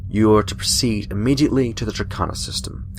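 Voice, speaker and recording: An actor playing a genial senior admiral in a science fiction audio drama, measured and formal, sounding a little away from the mic. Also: male voice, fairly low pitch, recorded from a distance, slight background noise, natural voice. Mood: formal, briefing. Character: genial, senior male admiral, chatty then businesslike.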